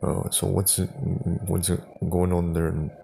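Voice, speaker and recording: A man chatting casually and haltingly, improvising as he goes and repeating words. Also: deep voice, completely flat delivery, creaky, warm tone. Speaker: prank call video creator, host. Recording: noisy home recording.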